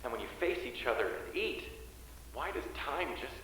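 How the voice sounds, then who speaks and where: A man's voice: mid-range voice, very animated, moderate pace, a bit bright. A man playing an earnest, philosophical character in a theatrical drama, speaking in lyrical lines. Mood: wistful, searching. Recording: very echoey room, distant mic, faint noise.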